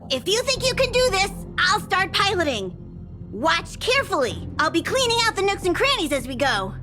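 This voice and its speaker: A woman voicing a tiny, excitable mascot creature in a game dub, childlike, fussy and bossy as a train conductor. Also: extremely high pitch, normal speed, over music. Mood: eager, resourceful. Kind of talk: English game dub acting.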